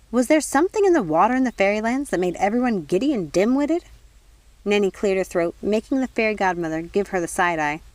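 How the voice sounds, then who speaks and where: A woman reading a storybook aloud as an audiobook narrator, in an expressive storytelling style. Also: medium pitch, no echo. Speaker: audiobook reader.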